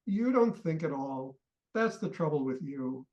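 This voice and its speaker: Radio comedy: blustering, irascible rich father, middle-aged male actor, indignant ranting, very animated intonation. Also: a little high, a little slow, lo-fi sound. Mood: indignant, sarcastic. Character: irascible blustering wealthy father, obsessive collector. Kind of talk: comic radio play acting.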